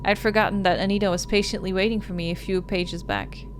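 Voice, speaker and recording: A woman's voice: medium pitch, steady tone, quick pace. Woman narrating a visual novel, noisy background. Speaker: gaming video host. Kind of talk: dramatic read-aloud narration of a visual novel. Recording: noise behind the voice.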